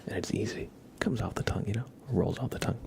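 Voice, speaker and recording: A man chatting casually on an ASMR livestream in a soft, often whispered voice, relaxed and rambling, close to the microphone. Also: on the low side, fast talker, vocal fry, slight background noise. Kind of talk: ASMR, casual chat with viewers.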